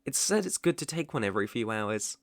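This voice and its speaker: A man with a British accent playing a polite, devoted butler in an ASMR roleplay, speaking formally and deferentially with soft attentiveness. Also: on the low side, very animated, fairly quick, no echo, studio quality. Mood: gentle, pleased. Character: polite, devoted young male butler, gently teasing.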